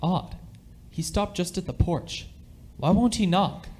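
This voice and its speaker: A man playing an excitable, insistent father in an amateur radio play. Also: sits high, unhurried, a little hiss or hum. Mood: insistent, puzzled. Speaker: high school theatre performer.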